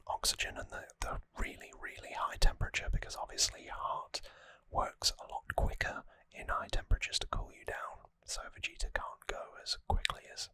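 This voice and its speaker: An ASMR host whispering an informal recap close to the microphone, in short phrases with hesitant pauses. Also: sharp tone.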